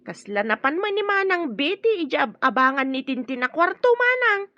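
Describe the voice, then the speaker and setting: A woman's voice: sits high, sing-song, normal speed, sharp tone, dry recording, full character voice. A woman playing a concerned, helpful relative in an Ilocano radio drama, speaking earnestly and deferentially. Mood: confiding. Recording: dull lo-fi audio with music.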